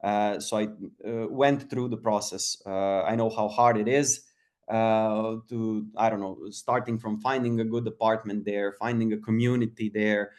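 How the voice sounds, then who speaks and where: A man from Croatia gives a casual webinar talk, pausing often with fillers and restarts. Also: fairly low pitch, little pitch movement, darkish tone. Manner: halting, many fillers and restarts. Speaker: webinar speaker.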